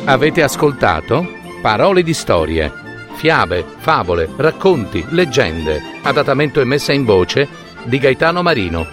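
A man telling a fairy tale as an expressive storyteller, with theatrical, deliberate phrasing and short pauses. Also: mid-range voice, over music. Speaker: storyteller and adapter.

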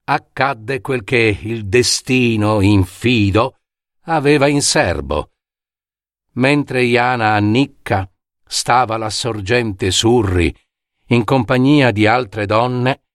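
A man narrating a scripted fairy tale as a storyteller, in a theatrical, measured way with suspenseful pauses. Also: medium pitch, no echo, clean studio sound. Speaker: storyteller and author reading his own fairy tale.

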